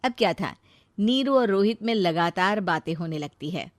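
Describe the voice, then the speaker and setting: A woman narrating a moral cartoon story in a plain, brisk manner. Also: medium pitch, expressive, dry recording.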